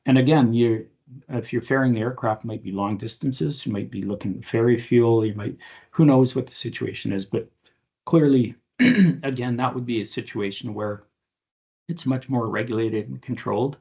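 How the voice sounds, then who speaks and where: A man speaking as an expert on an aviation podcast, explaining things conversationally and haltingly with informal examples. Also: mid-range voice, creaky, darkish tone, breathy edge, lo-fi sound.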